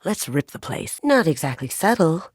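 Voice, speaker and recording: A woman's voice: sits low, very animated, normal speed, breathy edge, no echo, exaggerated voice. Sly, wry young woman thief, dry-sounding recording. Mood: dry, casual. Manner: playful, dry, understated wit. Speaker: voice actor in a video game. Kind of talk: scripted video game companion dialogue.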